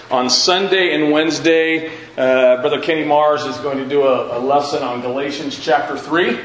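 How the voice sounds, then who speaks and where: Echoey, higher-voiced man teaching Bible class. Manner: repeats and rephrases questions for emphasis. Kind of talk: informal teaching with questions to the class. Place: large echoey indoor room with a class present. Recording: very echoey room with faint noise.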